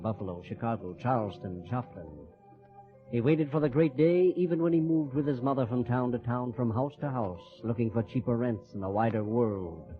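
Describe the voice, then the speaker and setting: An actor narrating an old-time radio drama as a solemn, lyrical storyteller. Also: male voice, medium pitch, natural voice. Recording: slightly muffled old radio recording.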